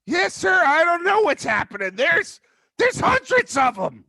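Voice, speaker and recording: Guy doing a freaked out teen fast food kid, squeaky and way high, pretty lively. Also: creaky, no echo, heavily acted. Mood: panicked. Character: panicky teenage fast-food worker, male.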